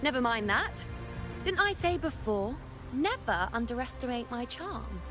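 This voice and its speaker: An actress playing a confident, playful young woman in a video game, with an expressive, theatrical delivery. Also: fairly high pitch, measured pace. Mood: teasing, confident. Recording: game music behind the voice.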